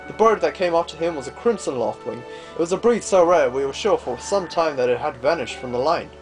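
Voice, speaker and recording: A man voicing a gentle, wistful older father from a fantasy game, telling stories in a fond, reflective way. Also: fairly high pitch, expressive, a little fast, natural voice. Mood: fond, nostalgic. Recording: game music behind.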